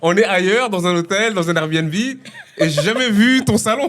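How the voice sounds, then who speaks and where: A young man co-hosting a podcast, chatting in a relaxed and playful, teasing way. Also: sits high, moderate pace, slight background noise. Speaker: podcast co-host. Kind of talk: casual chat.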